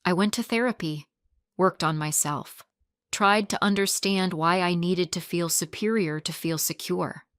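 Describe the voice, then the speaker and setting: Woman reading a remorseful man, ex-fiancé, quiet halting apology, low voice, dry sound. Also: no echo.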